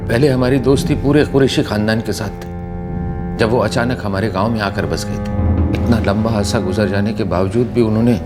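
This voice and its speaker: A man's voice: lowish voice, fairly quick, over loud music, close to an everyday voice. An actor playing a distraught middle-aged father, earnest and emotional, in a TV crime drama. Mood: bewildered. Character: distraught father of a runaway daughter.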